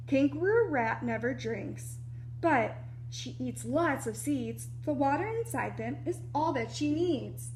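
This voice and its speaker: A woman reading a children's picture book aloud like a preschool teacher, animated and explanatory, with little asides to young listeners. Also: high voice, slight background noise, rough recording.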